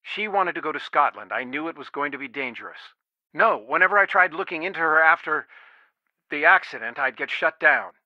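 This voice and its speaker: A man's voice: on the high side, animated, fairly quick, no echo, lo-fi sound, close to an everyday voice. A man voicing a stern, worried middle-aged father and lawyer in a video game, earnest, firm and protective. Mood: guarded, grave. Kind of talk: video game voice acting, one side of phone conversations.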